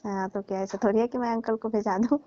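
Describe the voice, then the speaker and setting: A woman's voice: a little high, steady tone, quick pace, mellow, no echo, natural voice. Woman acting a coaxing married lover, muffled call. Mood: placating. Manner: coaxing, teasing, placating. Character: married older lover coaxing her sulking younger man. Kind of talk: scripted prank-style phone call drama in colloquial Hindi. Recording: dull lo-fi phone call recording.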